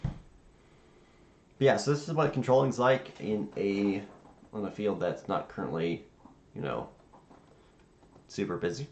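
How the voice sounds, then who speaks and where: A man with a low, creaky voice talks casually on a livestream as a hobby air traffic controller. He rambles and pauses, thinking out loud. The room sounds echoey, with faint noise behind him. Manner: halting, rambling, thinking aloud. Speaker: streamer playing virtual air traffic controller.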